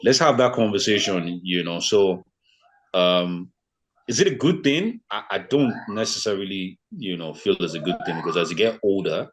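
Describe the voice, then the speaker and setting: Brief: man in his thirties from Nigeria, Yoruba first language, very low voice, easygoing chatty guest. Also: normal speed. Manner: relaxed, lots of fillers like "you know" and "man". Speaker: podcast guest. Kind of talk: casual conversation.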